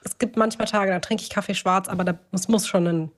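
A woman's voice: medium pitch, fairly even intonation, brisk. A woman co-hosting a podcast in an informal, conversational chat. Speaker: podcast co-host. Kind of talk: casual chat.

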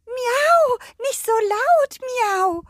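A teasing storybook cat, acted by a woman, meows between phrases with sly aloofness. The delivery swings widely in pitch and sounds dry and close. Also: animated, very slow, no room sound, exaggerated voice. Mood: annoyed. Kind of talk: children's storytelling.

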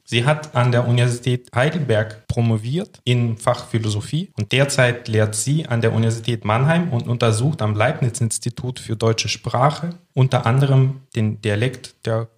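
A man co-hosting a podcast, chatting in a relaxed way and explaining with a few fillers, recorded in a room at a language institute. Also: medium pitch. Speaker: podcast co-host. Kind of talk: casual podcast chat.

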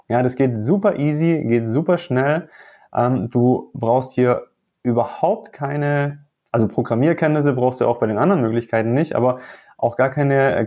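A man speaks as a podcast guest, his nose a little stuffy. He explains things eagerly and repeats phrases for emphasis, in a mellow, warm voice. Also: mid-range voice. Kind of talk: casual podcast chat.

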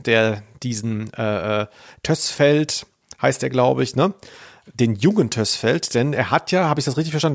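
A man's voice: mid-range voice, creaky. A man co-hosting a podcast and talking casually about audio dramas. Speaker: podcast host.

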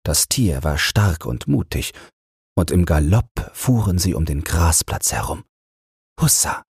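A man gently narrating a bedtime fairy tale in a soothing, measured way, close to the microphone. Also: extremely low pitch, expressive, creaky, breathy edge, dry recording. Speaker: audiobook narrator.

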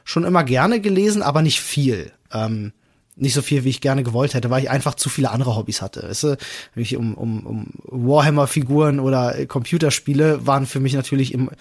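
A man's voice: mid-range voice, brisk. A man co-hosting a casual podcast, talking in a wordy way with many digressions and hesitations. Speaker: podcast co-host.

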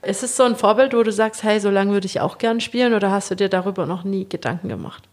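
A woman's voice: mid-range voice, fast, a little mellow. A chatty woman with a mid-range voice hosts a casual interview. She talks colloquially and conversationally, moving easily between questions and her own remarks. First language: bilingual German and Spanish. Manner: chatty, colloquial, often laughing. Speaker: interview host; former professional handball player.

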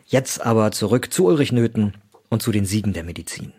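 A man's voice: lowish voice, expressive, a little fast, over loud music. A man presenting a scripted promotion in a friendly, direct style.

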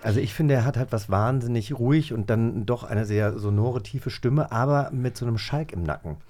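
Mellow man in casual interview chat. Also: medium pitch, moderate pace. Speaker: podcast co-host.

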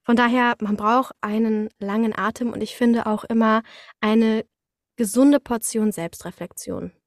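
A young woman with a fairly high voice talks casually as an interview guest. She tells things expressively, slipping into direct speech, and the recording is close and dry. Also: steady tone, measured pace, no room sound. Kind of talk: casual podcast conversation.

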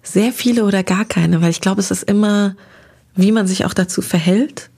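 A woman of middle pitch with a gravelly, vocal-fry texture, chatting as an interview guest. She sounds enthusiastic and warm about her subject and talks in long, winding sentences. Her tone is relaxed and conversational. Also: moderate pace. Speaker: interview guest, restaurant critic.

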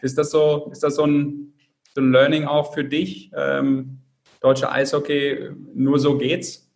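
A man's voice: mid-range voice, little pitch movement, a little slow, vocal fry, low-quality recording. A man hosting a podcast, chatting casually and asking questions in a loose, informal way. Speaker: podcast host. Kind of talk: casual interview chat.

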